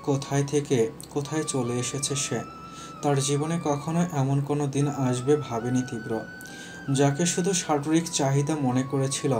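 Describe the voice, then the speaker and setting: A man narrating a scripted romantic audio story. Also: mid-range voice.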